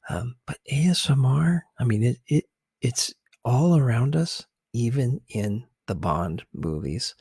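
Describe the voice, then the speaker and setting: A man gives casual ASMR-style commentary in a close, dry recording. His pace is very slow and his manner is gentle and a little hesitant, explaining things bit by bit. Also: mid-range voice, expressive, slightly rough, no room sound. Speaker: ASMR video host.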